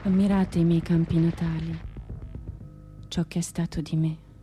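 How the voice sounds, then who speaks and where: An actress playing a proud, rebellious woman poet in an intense, declamatory theatrical monologue that swells and hushes. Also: fairly low pitch, flat delivery, measured pace, soft background music, close to an everyday voice. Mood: wistful, brooding.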